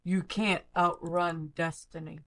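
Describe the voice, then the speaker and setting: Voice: flat delivery, slow, fairly bright tone, no echo. An actor voicing a grim fugitive character in terse, dramatic game-style warnings, processed with cyborg-style voice effects. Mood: grim, urgent. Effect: pitch and robotic character voice effects being demonstrated. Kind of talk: short scripted dramatic character lines, played as a looping vocal sample.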